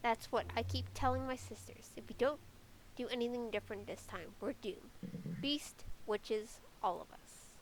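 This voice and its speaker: A woman's voice: a little high, moderate pace, a little hiss or hum, lightly acted, poor audio. A woman voicing a wary, world-weary forest witch from a fantasy game, with a sceptical, foreboding manner.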